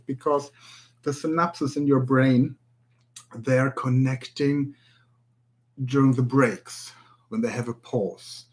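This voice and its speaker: A man's voice: medium pitch, a little mellow. A man from Germany speaking English with a German accent, presenting a spoken language tutorial and sometimes searching for English words. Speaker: language teacher.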